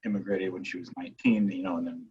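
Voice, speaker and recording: Man, American accent, low-pitched, academic panelist, searching for words, muffled recording. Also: flat delivery, a little mellow. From Wisconsin, United States. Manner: halting, searching for words, frequent checking "right". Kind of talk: thoughtful panel discussion. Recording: dull, muffled audio.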